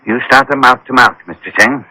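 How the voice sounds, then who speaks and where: An actor in a radio drama playing a brusque, commanding doctor with a brisk, authoritative manner. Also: male voice, medium pitch, pushed and bright, no room sound, low-quality recording. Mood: focused, instructing.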